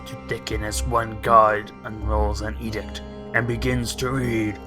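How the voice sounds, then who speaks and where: Guy with a lowish voice doing a lets play, reads game text out loud, jokey rambly, music going in the back. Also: level tone, measured pace. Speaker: let's play host. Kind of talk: let's play commentary, reading game text aloud.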